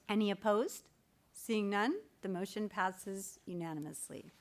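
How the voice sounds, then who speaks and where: A woman speaks as the chair of a public board meeting. Her voice sits at an average pitch, and she works through formal procedure in a measured, official way.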